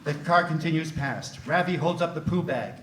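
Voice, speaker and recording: A man's voice: on the high side, normal speed, a bit bright. Man reading stage directions in a roomy hall.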